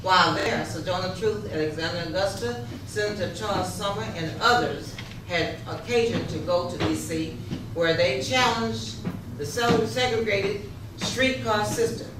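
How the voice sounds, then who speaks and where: A woman reading historical narration for a readers theater, halting and stumbling over words now and then. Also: mid-range voice. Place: echoey room, live rehearsal. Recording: echoey, distant, noisy rehearsal room.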